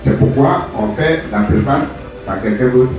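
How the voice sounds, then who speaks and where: A man answers audience questions as an author, speaking deliberately and repeating phrases for emphasis. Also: mid-range voice. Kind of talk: spoken answers at a public book presentation. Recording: echoey hall, distant mic, music behind.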